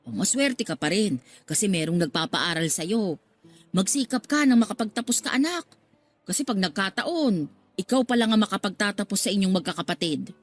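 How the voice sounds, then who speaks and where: An actress playing a talkative, motherly middle-aged working-class woman in a radio drama, expressive and given to exclaiming. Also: medium pitch, moderate pace, lightly acted. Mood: coaxing, motherly. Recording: music under the voice.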